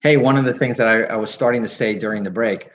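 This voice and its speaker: A man hosting a live radio talk show, with an energetic, salesy way of talking and frequent fillers. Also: medium pitch, fast talker, slight background noise. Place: radio studio.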